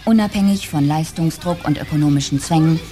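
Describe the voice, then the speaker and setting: An actress playing a sober, matter-of-fact consultant reading out a formal report in a radio drama. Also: female voice, sits low, fairly quick, mellow, natural voice. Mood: sober, factual. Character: sober female consultant presenting a scientific report.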